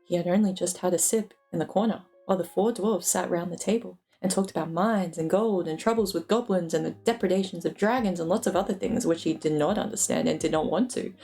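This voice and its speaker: Mellow-voiced woman reading a storybook aloud. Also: lowish voice, brisk, hardly any pauses, faint music behind. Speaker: streamer co-reading a novel aloud. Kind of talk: amateur dramatic storybook reading.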